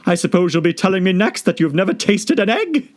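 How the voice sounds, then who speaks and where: A storybook pigeon, frantic and scolding, acted out by a man in a very high, shrill voice. The pitch swings dramatically through sobbing indignation and sulky contempt, like an overwrought mother bird at the end of her patience. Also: very animated, fairly quick. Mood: deep contempt. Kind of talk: storybook character voice.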